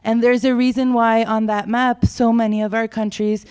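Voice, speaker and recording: A woman's voice: on the high side, flat delivery, moderate pace, a little mellow, smooth. A woman from Mexico, a city drug-prevention official speaking from the audience, direct and pointedly critical. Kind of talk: question from the floor. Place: conference room.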